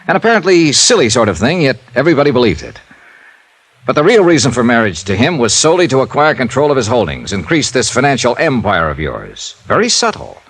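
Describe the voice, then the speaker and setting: An actor playing a tough, blunt, sardonic insurance investigator in an old-time radio drama. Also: male voice, mid-range voice, sing-song, a little fast, a bit bright, breathy edge, no echo, full character voice, rough recording. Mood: accusing.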